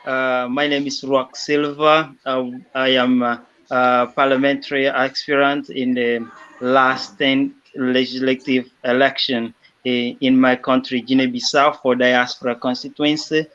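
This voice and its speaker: A man from The Gambia introducing himself on a panel, speaking haltingly with many fillers. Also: mid-range voice, flat delivery, a bit bright, smooth. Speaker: panel guest, youth leader. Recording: slightly dull lo-fi audio.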